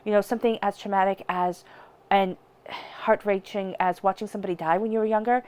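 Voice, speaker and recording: Woman tarot reader with a head cold, congested and nasal-sounding, chatty direct-to-camera delivery, middle pitch. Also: steady tone, fairly quick, a little mellow, smooth. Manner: chatty, speaks straight to viewers.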